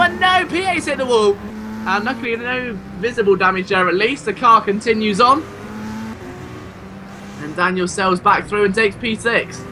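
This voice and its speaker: A man giving excitable, exclamatory live play-by-play commentary on a motor race. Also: high-pitched. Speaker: sim racing race commentator. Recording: clear car engine noise behind. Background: racing car engines.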